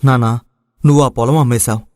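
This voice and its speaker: A man's voice: mid-range voice, fairly even intonation, quick pace, a little mellow, dry recording, studio quality, lightly acted. A man playing an earnest, respectful, devoted young son who farms, in a dramatized moral story. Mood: heartfelt. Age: young adult.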